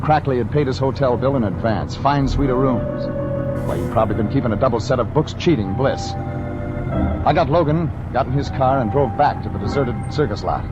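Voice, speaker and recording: An actor playing a tough, sardonic private detective in 1940s radio style, with a clipped, deadpan, wisecracking delivery. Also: male voice, mid-range voice, normal speed, dull audio, over loud music, close to an everyday voice. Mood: grim, deadpan. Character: tough, sardonic male private detective, hard-boiled and impatient. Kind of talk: 1940s hard-boiled radio drama acting, first-person narration. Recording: old radio show under loud truck-cab noise. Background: loud vehicle road and engine noise.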